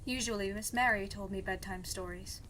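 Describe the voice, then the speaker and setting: A woman's voice: mid-range voice, steady tone, normal speed, a bit bright, slight background noise, natural voice. A woman playing a stubborn, sardonic young princess in an audio drama, speaking in a dry, defiant way. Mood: sullen, uneasy. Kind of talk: audio drama podcast acting.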